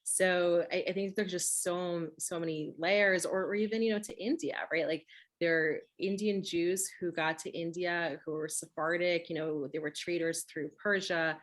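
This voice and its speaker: A woman's voice: on the low side, steady tone, moderate pace. A woman whose first language is Russian, chatting casually in a webinar over a video call and tagging her points with "right?" and "you know". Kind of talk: webinar conversation.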